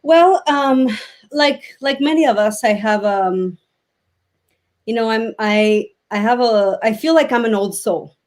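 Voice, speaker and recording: A woman from Mexico, a native Spanish speaker, speaking as a guest on a video call and talking reflectively with many pauses and fillers. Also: a little high, darkish tone. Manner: reflective, halting with many ums. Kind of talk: casual interview chat.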